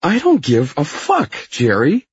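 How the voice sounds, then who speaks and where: A man's voice: a little high, wildly swooping pitch, measured pace, slightly rough, no echo, highs cut off, pitched above the performer's own voice, full character voice. A man voicing a whiny, sneering, foul-mouthed office villain in an audiobook, belligerent in manner. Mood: outraged. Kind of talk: audiobook character dialogue.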